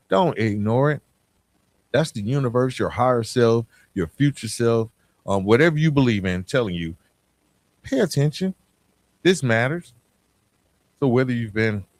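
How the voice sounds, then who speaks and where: A man's voice: mid-range voice, dry recording. A man hosting a solo motivational podcast, speaking in an earnest, emphatic way with frequent check-ins to the listener. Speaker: podcast host.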